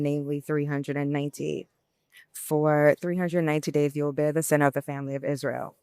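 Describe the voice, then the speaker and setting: A woman reading scripture aloud expressively, in a measured and deliberate way. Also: low voice, a little mellow, smooth, no room sound, studio quality. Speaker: scripture reader.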